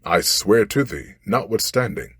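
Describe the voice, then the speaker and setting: A man's voice: on the low side, very animated, unhurried, fairly bright tone, husky, no room sound, clean studio sound, voice pushed up, full character voice. A man voicing a stern, menacing storybook genie who makes commanding pronouncements in archaic speech. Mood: commanding, solemn. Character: stern, ungrateful, menacing genie freed from long imprisonment. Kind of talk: storybook character voice.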